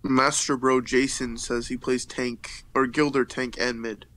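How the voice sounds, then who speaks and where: A man's voice: mid-range voice, flat delivery, unhurried, a bit bright, no room sound. A man co-hosting a livestream, tossing in teasing, joking remarks in casual banter. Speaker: stream co-host.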